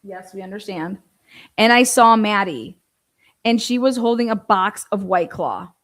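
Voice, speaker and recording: A woman's voice: mid-range voice, unhurried. A woman giving informal true-crime commentary, reading from a transcript and breaking in with pointed, skeptical asides and rhetorical questions.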